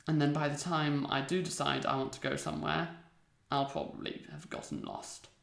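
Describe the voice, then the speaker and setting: A man's voice: a little high, level tone, normal speed, vocal fry, a bit bright. A man giving casual interview answers as a fan audio drama cast member, in a roomy, echoing recording.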